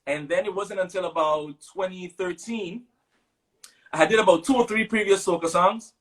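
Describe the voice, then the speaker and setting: A man in his thirties from Sint Maarten with a Caribbean English accent, a guest telling stories in a relaxed interview, with plenty of fillers. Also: high voice, moderate pace. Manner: relaxed storytelling with many fillers. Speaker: guest musician. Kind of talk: casual interview chat.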